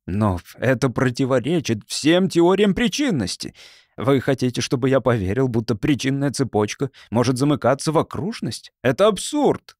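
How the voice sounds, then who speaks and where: A man's voice: medium pitch, expressive, moderate pace, darkish tone, no echo, studio quality, lightly acted. A man reading an audiobook as a bewildered young time traveler who keeps protesting and questioning. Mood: indignant objection.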